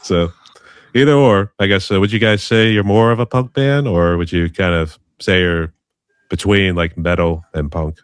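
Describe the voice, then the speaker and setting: A man with a very deep voice hosts a casual music podcast and asks friendly, rambling questions. The sound is dry and close to the mic. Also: fairly even intonation, moderate pace, clean tone, no room sound. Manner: friendly, loose, filler-laden questions. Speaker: podcast host. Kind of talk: casual interview hosting.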